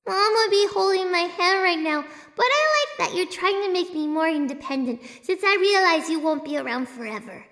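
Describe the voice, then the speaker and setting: A woman's voice: extremely high pitch, lively intonation, moderate pace, hardly any pauses, a bit bright, no room sound, studio quality, full character voice. A woman voicing an imaginative, anxious little girl in a video game, with an earnest, dramatic, childlike delivery. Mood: anxious, pleading. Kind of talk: video game character voice acting.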